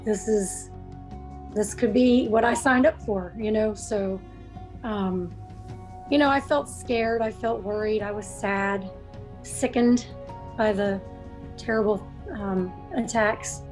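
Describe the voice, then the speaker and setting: A woman in her forties, a Navy veteran, reflecting earnestly on a webcam in a room at home, with frequent pauses and fillers. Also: medium pitch, a little mellow, faint music behind. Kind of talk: recorded testimonial.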